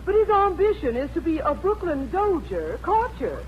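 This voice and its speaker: An actress playing a gushing lady with a comic mangled dialect and drawn-out vowels in a 1940s radio comedy. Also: very high-pitched, very animated, dull audio. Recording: very noisy old radio recording.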